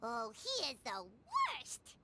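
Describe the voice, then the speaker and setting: A woman voicing a tiny childlike fairy companion in a video game, squeaky, chatty, blunt and exclamatory. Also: huge pitch swings, slow pace, heavy background noise, exaggerated voice. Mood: exasperated. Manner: exclamatory, speaks of self in third person. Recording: loud background music. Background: game music.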